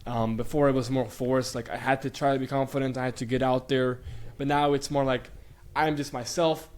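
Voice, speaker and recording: A man's voice: mid-range voice, steady tone, fairly quick. A young man from Bulgaria who grew up in Sweden, talking as a guest in a casual interview, rambling with many fillers and restarts. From Bulgaria, raised in Sweden.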